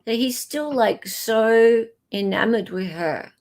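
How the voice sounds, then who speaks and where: A woman's voice: a little high, slow pace, a little mellow. A woman hosting a livestream with casual, conversational commentary, repeating points for emphasis. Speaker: livestream host.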